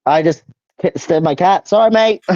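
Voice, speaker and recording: A man's voice: a little high, measured pace, dark tone, no room sound. A man chatting casually as a co-host, rambling and repeating phrases as he gives his opinions. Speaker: co-host ranking video game powers. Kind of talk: casual chat, giving opinions. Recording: dull, lo-fi voice audio.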